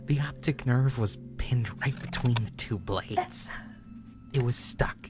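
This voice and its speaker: A man voicing a disturbed, obsessive narrator of a horror story, speaking intensely and deliberately in a dramatic first-person reading. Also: mid-range voice, over music, lightly acted. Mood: grim, explanatory. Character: disturbed obsessive man recounting mutilating his own eye. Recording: clear background noise with hum.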